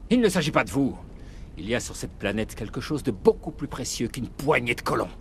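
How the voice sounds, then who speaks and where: An actor playing a ruthless, agitated corporate official in a dramatic game dub, with a volatile, sneering, cynical delivery. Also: male voice, mid-range voice, big pitch swings, a little fast, gravelly, slight background noise. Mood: cold, menacing. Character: ruthless, agitated male corporate official holding colonists hostage.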